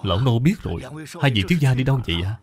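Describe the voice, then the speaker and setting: A man's voice: mid-range voice, expressive, racing, close to an everyday voice. A man voicing a loyal old male servant in a cartoon, deferential and earnest.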